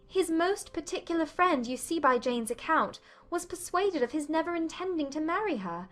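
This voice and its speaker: A woman acts an anxious young lady of a period novel, her voice high and earnest. She questions emphatically and sounds distressed and sceptical, with music behind her. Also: fairly bright tone. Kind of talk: dramatized audiobook acting of a period novel.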